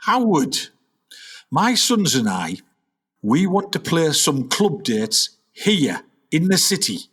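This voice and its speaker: A man's voice: a little high, sing-song, takes it slowly, a bit bright, husky, exaggerated voice. A man playing a blustering, hopeful aging jazzman father in a comedic radio play, talking in boastful old-time hipster slang. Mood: nervous, pleading.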